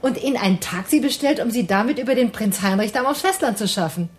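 A woman's voice: fairly high pitch, expressive, fast talker, a little hiss or hum, natural voice. An actress playing a guarded middle-aged woman in a radio drama, educated and evasive, nervous yet defiant. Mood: defensive, ironic.

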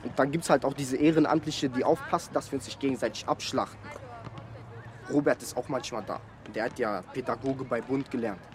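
An actor playing an excitable, naive young man in a radio drama, talking in street slang. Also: mid-range voice, a little fast, close to an everyday voice. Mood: excitable, chatty. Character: excitable, naive young male hanger-on from the youth club. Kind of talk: slangy interview-style radio drama monologue.

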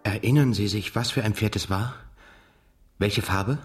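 An actor playing a brooding, restrained émigré journalist who narrates and asks questions in a German radio drama. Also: male voice, lowish voice, measured pace, soft background music, close to an everyday voice. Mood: probing.

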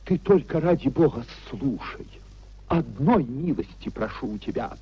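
An actor playing an anguished, idealistic young husband in a 1950s Russian radio play, pleading and declaiming theatrically. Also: male voice, medium pitch, animated, unhurried, breathy edge, no echo, muffled recording. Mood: earnest pleading. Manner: theatrical, declamatory, repeating phrases emotionally.

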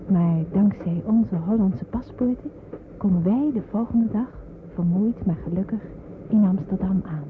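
An actress in a radio drama playing a refugee daughter who narrates her family's journey in measured storytelling. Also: mid-range voice, natural voice. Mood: reflective. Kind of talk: scripted first-person narration in radio drama. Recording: tinny radio recording with clear noise.

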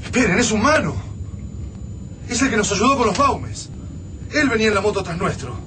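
A man's voice: high voice, big pitch swings, normal speed. An actor playing a cautious, hopeful father and hero in a radio drama, with an Argentine Rioplatense accent. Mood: urgent, hopeful. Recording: clearly noisy, sound-effect ambience.

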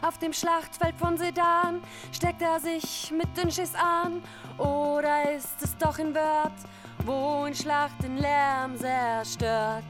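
An actress playing a mock-heroic rhymester who recites comic ballad verse in a singsong way in a radio play. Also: female voice, high-pitched, flat delivery, takes it slowly, over music. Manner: singsong rhyming delivery.

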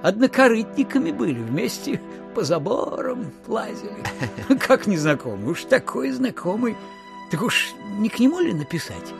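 Old miser, suspicious, grumbling, muttering, wildly swinging pitch, theatrical audio drama, faint music. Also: male voice, big pitch swings, normal speed, gravelly, exaggerated voice. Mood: excited recollection. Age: older. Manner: muttering, fussy, exclamatory, drifting into grumbles.

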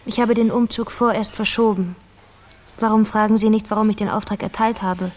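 A woman's voice: medium pitch, fairly even intonation, fairly quick, a little mellow, close to an everyday voice. An actress playing a guarded, irritable young mother in a radio crime drama, with a terse, defensive manner. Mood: guarded, insistent.

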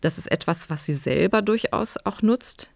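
A woman's voice: medium pitch, expressive, moderate pace, gravelly, dry recording. A woman historian giving analytical, explanatory commentary in an interview.

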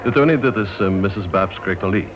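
An actor playing a chatty, genial club member in a Dutch radio play. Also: male voice, low-pitched, a little fast, noisy background, natural voice. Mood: genial, teasing. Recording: dull old radio recording.

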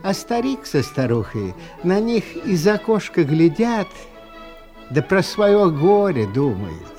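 A man narrating a fairy tale for an audio storybook with expressive, dramatic, unhurried phrasing. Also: high voice, soft background music.

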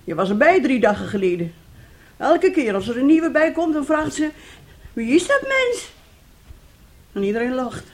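A woman's voice: sits high, very animated, moderate pace, long pauses. Radio play mother, middle-aged; bossy and wheedling, with swooping intonation. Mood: self-pitying. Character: bossy, scheming, self-pitying mother coaxing her son.

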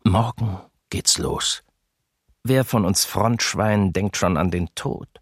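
An actor playing a homesick German soldier in his early thirties, reading his diary in a radio docudrama with husky, measured delivery. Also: on the low side, no room sound, studio quality, exaggerated voice. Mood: brooding, grim. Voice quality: husky, gravelly.

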